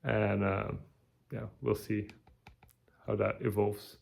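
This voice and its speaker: A tired man, giving a halting, casual video update with many restarts. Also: on the low side, level tone, creaky, darkish tone. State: tired, burned out. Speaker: vlogger.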